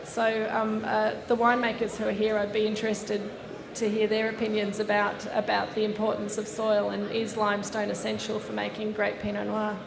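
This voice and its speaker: A woman's voice: mid-range voice, completely flat delivery, moderate pace, vocal fry, crowd noise. A woman moderating a wine panel discussion, thoughtful and hesitant, with many fillers and restarts. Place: seminar room with live audience. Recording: echoey room with audience.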